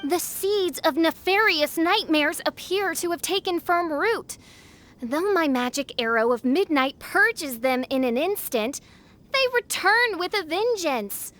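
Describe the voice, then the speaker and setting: A woman's voice: very high-pitched, animated, unhurried, fairly bright tone, exaggerated voice. A woman voicing a theatrical teenage girl posing as a haughty princess in a video game, with grandiose, archaic speech. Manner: grandiose, archaic, alliterative, melodramatic. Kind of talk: video game character dialogue.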